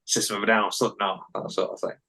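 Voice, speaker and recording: Gravelly-voiced male podcast host. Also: fairly low pitch, level tone, quick pace, sharp tone. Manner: hesitant, filler-heavy questions. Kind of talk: casual podcast interview.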